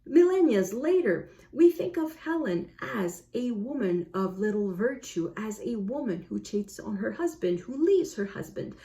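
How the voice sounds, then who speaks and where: A woman's voice: medium pitch, mellow. A woman with a French accent and French as her native language gives a casual book review, explaining reflectively and often correcting herself.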